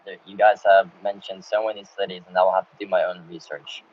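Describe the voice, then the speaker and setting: A man, though his is Russian. He answers live chat questions in a relaxed, chatty way and laughs between answers. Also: deep voice, fast talker. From Ukraine, grew up in the United States. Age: 20s. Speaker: travel YouTuber. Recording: dull lo-fi sound with faint background noise.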